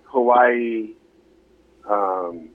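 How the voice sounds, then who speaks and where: A man's voice: fairly low pitch, extremely slow. A middle-aged American man, a musician guest on a show, chatting casually over a muffled lo-fi call. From United States. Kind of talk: casual chat.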